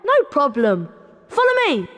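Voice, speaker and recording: Excitable, very high-voiced schoolboy. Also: female voice, measured pace, big-room sound, a little hiss or hum, full character voice. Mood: excited. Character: excitable young schoolboy wizard, cheeky and eager. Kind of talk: video game voice acting.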